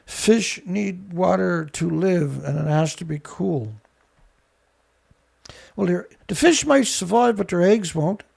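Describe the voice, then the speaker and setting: Gravelly-voiced man, high pitch. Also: expressive, takes it slowly, darkish tone. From Canada. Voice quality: rough, gravelly voice. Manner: angry, blunt, swearing, sarcastic. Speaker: show host. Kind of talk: ranting commentary show.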